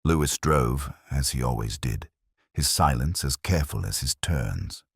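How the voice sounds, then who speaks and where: Very deep-voiced man narrating a detective story. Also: lively intonation, gravelly, slightly rough, no echo, clean studio sound. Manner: measured, reflective, melancholy storytelling. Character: world-weary, pensive male detective inspector. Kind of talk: literary first-person scripted narration.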